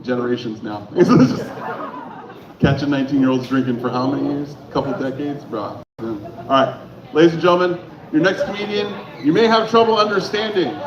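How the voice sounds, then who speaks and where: A man's voice: a little high, brisk. A man hosting a comedy night in a club, bantering and bringing on the next comedian. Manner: loose banter, introducing comedians. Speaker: comedy show host. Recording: echoey lo-fi club with crowd.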